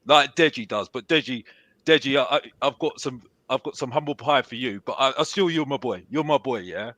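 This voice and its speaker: A man, a fan pundit on a podcast, loud and repetitive, insisting on his point. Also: mid-range voice, a little fast, no echo. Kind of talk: heated fan podcast debate.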